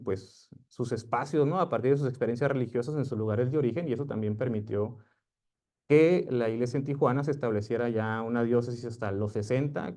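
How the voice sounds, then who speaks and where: A man moderates a university history panel in a measured way, ending his points with a quick tag question. His voice is mellow and dark at a middle pitch. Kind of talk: academic panel talk. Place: lecture room at a university event.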